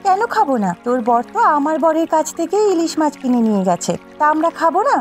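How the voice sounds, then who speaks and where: A sharp-tongued village sister from a folk-tale cartoon acted by a woman. She quarrels and taunts in a very high-pitched voice over faint music. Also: sing-song, quick pace. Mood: prickly, suspicious. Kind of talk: Bengali cartoon dialogue dubbing.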